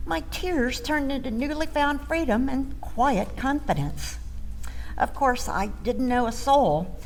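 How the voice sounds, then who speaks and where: An actress playing a sentimental, cheerful storyteller in her sixties, telling her tale in a folksy, expressive stage monologue. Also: sits high, slow, exaggerated voice, low-quality recording. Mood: nostalgic, hopeful. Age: 60s. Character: sentimental, cheerful older woman storyteller, a Florida transplant.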